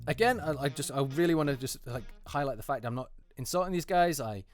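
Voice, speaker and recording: A man's voice: medium pitch, expressive, fast talker. A man speaking as a voice actor in an unscripted, casual critique, hesitant and repeating words for emphasis, in a treated recording booth. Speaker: voice actor reviewing auditions. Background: music.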